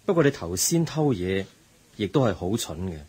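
A man's voice: on the low side, very animated, normal speed, a little mellow, husky. A man with a Hong Kong Cantonese accent playing a teacher in a radio drama, earnestly lecturing a student. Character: patient middle-aged teacher, moralizing and kind.

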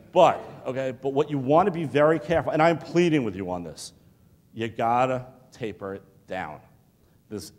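A middle-aged man giving a keynote speech to students, talking in an animated, pleading, conversational way, often checking in with "okay?". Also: mid-range voice. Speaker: keynote speaker. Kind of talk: motivational lecture to students. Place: large hotel ballroom with audience. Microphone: lapel mic. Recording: long hall reverb.

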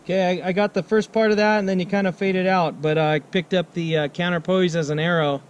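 A middle-aged American man chatting casually as a ham radio operator outdoors, halting and laid-back, repeating his phrases. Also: sits high, fairly even intonation, noisy background. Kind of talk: casual radio contact chat. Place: outdoors at a picnic table on a mountain.

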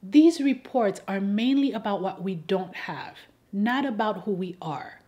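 Woman from haiti doing a scripted opinion video, mid pitched voice, deliberate and a bit wry. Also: animated, dark tone, muffled recording. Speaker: host of an advocacy video. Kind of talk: scripted persuasive commentary addressed to camera.

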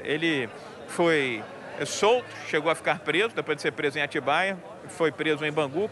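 Guy reporting live outside, kinda high voice, lots of "né" fillers, crowd chatter behind. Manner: chatty, many fillers, addresses anchor by name. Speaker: field reporter. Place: outdoors at a crowded public event. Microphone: handheld mic, wearing a face mask. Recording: outdoor live broadcast with crowd noise. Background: crowd murmur.

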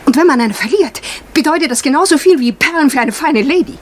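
Self-important housewife, higher-pitched voice. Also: female voice, animated, quick pace, a little hiss or hum. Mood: indignant. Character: self-important, bossy middle-class London housewife, easily flattered. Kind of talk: German radio crime drama acting.

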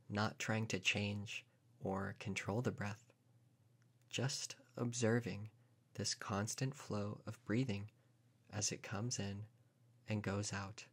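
Guy leading a guided meditation, really slow and calm, lowish voice, gently telling you what to do. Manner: calm, unhurried, gently instructing. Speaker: meditation teacher.

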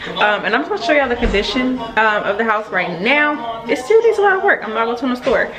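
A woman's voice: on the high side, very animated, a little fast, poor audio. A young adult woman chatting informally to viewers in a casual vlog, recorded indoors on a nearby camera. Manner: informal, addresses viewers directly. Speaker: vlogger. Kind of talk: casual vlog chat, narrating a house tour. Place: indoors, rooms of a house under renovation. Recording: clear background noise, clicks.

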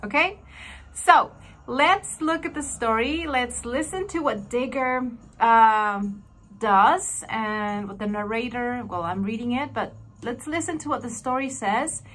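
A woman in her thirties speaks in a somewhat high voice. She teaches young learners in a conversational, instructive way, repeating key phrases and posing questions that she then answers. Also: measured pace, a bit bright, faint music behind. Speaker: language teacher. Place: small furnished room at home, indoors.

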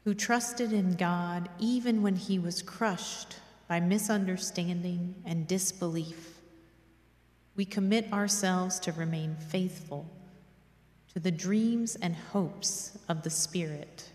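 A middle-aged woman giving a solemn, measured devotional reading as a prayerful reader at a church service. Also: lowish voice, a little mellow. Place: large dim church, at a lectern. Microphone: gooseneck lectern microphone. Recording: echoey church with long reverb.

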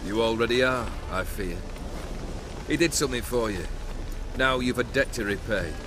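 A man's voice: medium pitch, normal speed, background noise, lightly acted. An actor playing a haughty, cynical middle-aged nobleman bandit leader in a fantasy game, theatrical and sardonic in formal, archaic phrasing. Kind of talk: fantasy video game cutscene acting.

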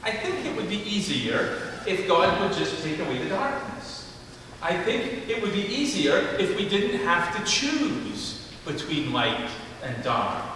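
A man's voice: high-pitched, slight background noise. A man in his forties preaching from a pulpit in a large church sanctuary, reading scripture and telling a story before his sermon. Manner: measured, reads scripture then tells a story with animated character voices. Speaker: pastor. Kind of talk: sermon with a told joke. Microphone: pulpit mic, camera at the back. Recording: echoey church hall from far off.

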